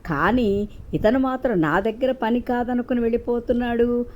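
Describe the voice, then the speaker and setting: A woman's voice: on the high side, fairly even intonation, normal speed, darkish tone, lo-fi sound, pitched above the performer's own voice, close to an everyday voice. A woman voicing a proud, irritable old rich man in a moral story, scolding and brooding. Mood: surprised, brooding.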